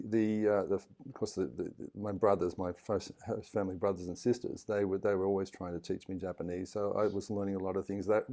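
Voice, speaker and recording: Aussie older man spinning lively yarns. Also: fairly low pitch. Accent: Australian. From Australia. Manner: animated storyteller who acts out other people's lines and laughs often. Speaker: podcast guest. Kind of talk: casual storytelling interview.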